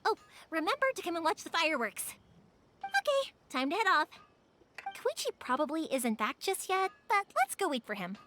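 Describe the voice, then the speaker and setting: A woman's voice: extremely high pitch, huge pitch swings, normal speed, bright, forceful tone, a little hiss or hum, heavily acted. An actress playing a cheerful, chatty young woman who makes fireworks in a game, eager to please, with game music behind her.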